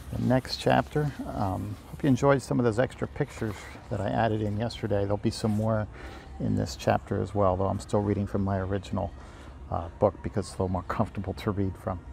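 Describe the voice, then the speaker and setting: Low, gravelly man reading a story outdoors. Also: little pitch movement, normal speed, a little mellow. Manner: unpolished, stumbles and rereads lines. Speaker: amateur audiobook reader and host. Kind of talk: informal storybook read-aloud. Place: outdoors by a river. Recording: faint outdoor ambient noise. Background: faint outdoor ambience.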